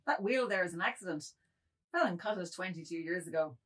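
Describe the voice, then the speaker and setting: A woman's voice: on the low side, lively intonation, brisk, a bit bright, slightly rough. A woman playing a meek, servile middle-aged man, anxious and deferential, in a dramatised reading. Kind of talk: dramatised novel table reading.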